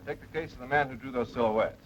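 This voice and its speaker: An actor playing a seasoned, patient air force officer giving measured, authoritative instruction in a 1940s military training film. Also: male voice, medium pitch, natural voice. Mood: matter-of-fact. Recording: noisy dull vintage film soundtrack.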